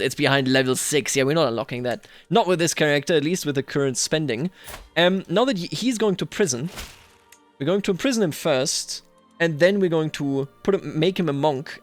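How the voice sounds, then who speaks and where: A man hosting a gaming video, thinking aloud in a musing way as he roleplays a strategy game. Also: a little high. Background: music.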